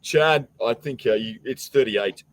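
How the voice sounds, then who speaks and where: Male host, medium pitch, loose bantering style, blunt ribbing, stammery restarts. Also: unhurried, a little hiss or hum, rough recording. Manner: teasing, blunt, stammering repeats. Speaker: show host running a quiz. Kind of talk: casual bantering chat.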